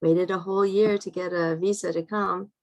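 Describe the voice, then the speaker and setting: A woman's voice: lowish voice, steady tone, normal speed, a little mellow. A woman from Iran, a nonprofit director, giving a brief, understated introduction on a video call.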